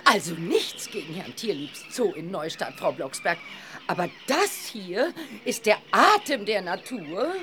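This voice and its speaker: A woman's voice: medium pitch, very animated, a little slow, noisy background. A woman playing an exuberant, theatrical lady reporter on holiday in a children's audio play, effusive in manner. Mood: rapturous.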